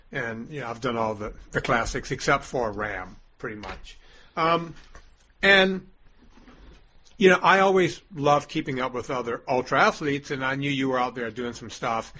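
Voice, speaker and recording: Male podcast host, gravelly and husky, sharp tone, blunt jokey banter, lo-fi sound. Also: medium pitch. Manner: blunt, joking, profane. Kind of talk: casual banter. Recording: dull lo-fi podcast audio.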